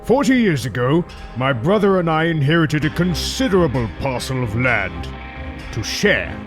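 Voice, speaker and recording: A pompous, scheming old magnate, played by a man holds forth in a fairly high voice that swings widely up and down. His speeches are grand and theatrical, and he bursts into furious shouting. Strong music plays beneath him throughout. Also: sing-song, moderate pace. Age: older. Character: rich old man, scheming and obsessed with outliving his brother. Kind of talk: fan comic dub acting. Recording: voice over loud background music.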